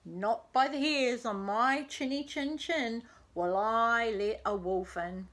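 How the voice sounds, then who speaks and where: Rude little boy pig for a children's story, done by a woman, brash and defiant, higher-pitched, measured pace. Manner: brash, shouting threats. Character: horrid little male pigs, lazy, rude, defiant.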